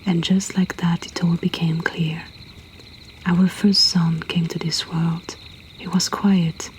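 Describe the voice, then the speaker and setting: A woman softly whispering a poetry reading as an intimate storyteller host, breathy and deliberate with long pauses. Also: lowish voice, level tone, a little hiss or hum.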